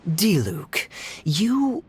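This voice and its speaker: An actor playing a suave, teasing young cavalry captain in a fantasy video game, with a drawling, playfully polite manner. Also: male voice, high-pitched, wildly swooping pitch, extremely slow, a little hiss or hum, exaggerated voice. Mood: reluctant, flustered. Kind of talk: video game cutscene voice acting.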